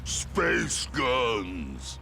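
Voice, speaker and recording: A man's voice: medium pitch, huge pitch swings, drawn-out words, heavily acted. An actor playing a huge, dim-witted mutant turtle brute in a video game, growling in broken caveman grammar. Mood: gruff, suspicious. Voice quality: gravelly, growling. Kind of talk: video game cutscene acting. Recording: loud music under voice.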